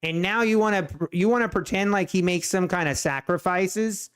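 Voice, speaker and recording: A man's voice: high voice, normal speed, a bit bright, clean tone. A man from Ohio with a Swiss German accent, chatting casually on a day trading live stream and ranting with plenty of restarts and repeats. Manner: rambling, repeats himself, exasperated ranting.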